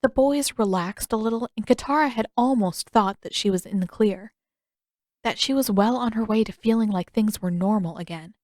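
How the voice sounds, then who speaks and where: A woman's voice: medium pitch, moderate pace, a little mellow, dry recording, clean studio sound. A woman reading fiction aloud as a scripted audiobook narration.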